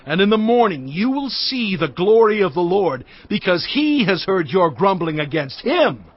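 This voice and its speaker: A man as a fervent, higher-voiced prophet leader. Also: sing-song, moderate pace. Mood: earnest. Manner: solemn, fervent, declamatory. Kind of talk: dramatized scripture acting. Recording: muffled, with music behind.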